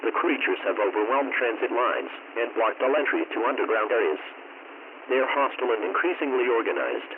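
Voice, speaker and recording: Through a tinny, narrowband radio signal with clear noise, a man delivers an emergency alert. His mid-pitched voice is formal and impersonal, reading scripted warnings in measured official phrasing. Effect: radio broadcast filter. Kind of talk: scripted emergency broadcast announcement.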